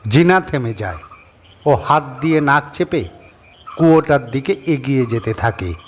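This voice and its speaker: A man reads a horror story as its narrator in a medium-pitched voice. He goes slowly and measuredly, pausing between short phrases. The delivery is scripted and dramatic. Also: animated, a little hiss or hum.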